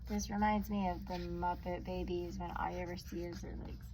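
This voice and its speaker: A woman reading a children's story aloud like a teacher, expressively drawing out words and adding hushed, chatty asides. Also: lowish voice, level tone, normal speed. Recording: noticeable background noise.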